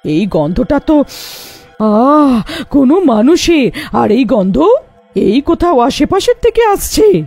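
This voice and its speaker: Woman playing a shrill, swooping, cackling witch. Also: sing-song, a little mellow, slightly rough, soft background music, full character voice. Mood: menacing, hungry. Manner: gloating, menacing, drawn-out phrasing. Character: greedy, gloating, cackling man-eating witch.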